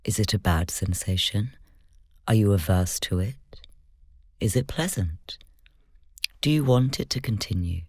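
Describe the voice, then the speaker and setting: A meditation guide leading a guided session in slow, measured, gentle instruction, soft-spoken with whispery moments. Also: sits low, slightly rough, dry recording, studio quality.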